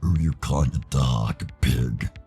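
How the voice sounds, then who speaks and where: A man voicing a gruff, quick-tempered young wolf character from a visual novel, blunt and grumbling in a gravelly growl. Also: rumbling low, dark tone, faint music behind, pitched below the performer's own voice, heavily acted. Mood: angry.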